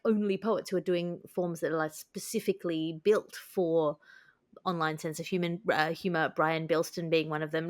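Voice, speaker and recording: A woman hosting a comedy podcast in a wry, articulate way, moving between panel chat and scripted bits. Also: on the low side. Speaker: podcast host.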